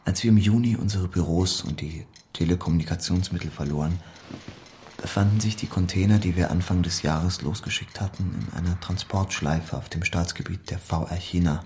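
An actor playing a loyal, guarded East German communist cadre in an audio drama monologue, deliberate and confiding, often sinking toward a whisper. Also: male voice, low voice, a little mellow, a little hiss or hum, natural voice. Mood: sober, reporting. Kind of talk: literary monologue in an audio play.

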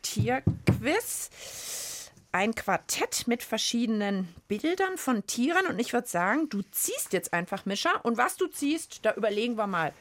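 Lively, higher-voiced woman hosting for kids. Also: measured pace, slight background noise. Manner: playful, explaining to young listeners. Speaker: podcast host. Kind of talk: playful scripted-casual children's science podcast chat.

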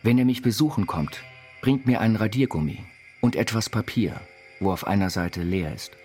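An actor playing an eccentric self-taught inventor, narrating his life story in measured, quaintly formal phrases for an audio biopic. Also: lowish voice, lightly acted. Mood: plain letter reading. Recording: studio voice over loud music.

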